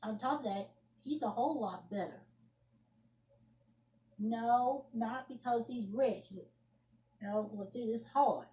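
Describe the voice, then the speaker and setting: A woman's voice: a little high, slow pace, long pauses, dark tone. A woman playing an indignant young woman scolding someone over the phone in an amateur solo drama, emphatic and repeating her phrases. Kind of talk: amateur solo acted monologue, one side of a phone argument. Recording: roomy echoing home recording.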